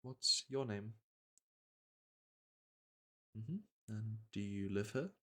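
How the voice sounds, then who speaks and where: A man playing a gentle veteran monster hunter in a fantasy ASMR roleplay, speaking softly and reassuringly, with a hesitant, matter-of-fact manner. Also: mid-range voice, close to an everyday voice. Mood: calm, reassuring. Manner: soft, hesitant, soothing delivery.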